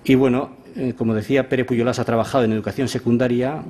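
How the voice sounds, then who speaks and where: A man moderating an event who formally introduces the guest speaker, pausing often on filler sounds. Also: medium pitch, fairly even intonation, mellow. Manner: formal, with frequent filler hesitations.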